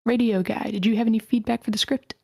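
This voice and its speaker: Woman, mellow tone, fairly high pitch, polite session host, dry close sound. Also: steady tone, fast talker, vocal fry, no echo. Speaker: host of a script feedback session. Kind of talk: polite moderating of a group call. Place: online meeting.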